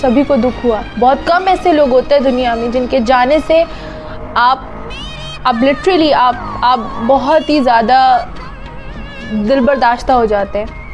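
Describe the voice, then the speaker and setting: A sorrowful woman giving a heartfelt spoken tribute, halting as she searches for words and slipping in English phrases. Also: sits high, over music. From Pakistan. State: grieving, sorrowful. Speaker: singer paying tribute.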